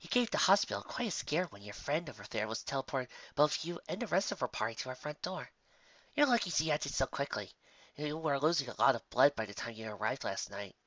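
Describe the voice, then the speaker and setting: A man's voice: mid-range voice, animated, brisk, a bit bright, dry recording, highs cut off, close to an everyday voice. A man voicing a concerned female nurse pony with a caring, professional manner in an amateur story reading. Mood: relieved, concerned.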